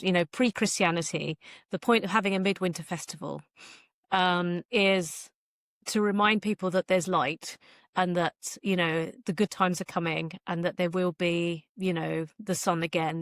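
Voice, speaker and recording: Female author as interview guest: chatty, wry about herself, on the low side, dry recording. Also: a bit bright, dry recording. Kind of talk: casual interview chat.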